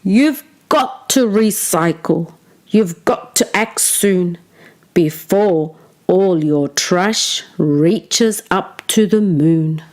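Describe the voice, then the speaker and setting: A woman's voice: on the low side, very slow, darkish tone. A woman voicing an earnest young boy superhero in a storybook, urging and declamatory.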